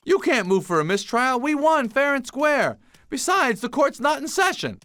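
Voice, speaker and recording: A man's voice: very high voice, sing-song, moderate pace, pushed and bright, dry recording, full character voice. An actor playing an earnest, plucky young male bee in a cartoon game, with a casual, wisecracking, self-deprecating manner. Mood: defiant.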